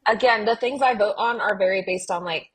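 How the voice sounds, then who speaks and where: A woman's voice: medium pitch, fairly quick, slightly rough. A woman co-hosting a podcast in a casual, earnest and emphatic way, sprinkling her talk with "like". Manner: earnest, emphatic, uses "like" often. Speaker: podcast co-host. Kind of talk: casual fan discussion.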